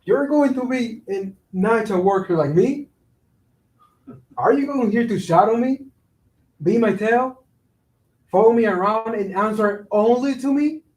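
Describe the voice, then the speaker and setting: Man acting teasing buddy, high voice, echoey. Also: lively intonation, a little slow, long pauses, darkish tone. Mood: teasing, curious. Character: Tiago, teasing neighborhood buddy, building worker. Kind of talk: staged play reading. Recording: echoey room, far from mic.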